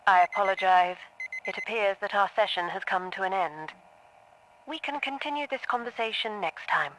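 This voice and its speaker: An actress plays a therapist in a horror audio drama. She is an unruffled, detached woman with a medium-pitched voice. Her delivery is neutral, careful and deliberate, as if nothing could surprise her. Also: a bit bright, slight background noise, natural voice, low-quality recording. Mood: detached, clinical. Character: composed, detached female therapist, clinical and unruffled.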